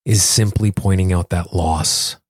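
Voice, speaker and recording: Male self-help coach and host, lower register, conversational and instructive, dry recording. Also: fairly even intonation, a little slow, no room sound. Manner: explanatory, instructing the listener directly. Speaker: podcast host and tapping coach. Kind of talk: conversational self-help talk and guided tapping exercise.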